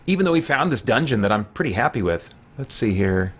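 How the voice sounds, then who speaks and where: A man's voice: mid-range voice, very animated, fairly quick, slightly rough. A middle-aged man hosting a live gaming stream, rambling casually and thinking aloud as he plays. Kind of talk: casual live stream chat while playing. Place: small home room lined with shelves.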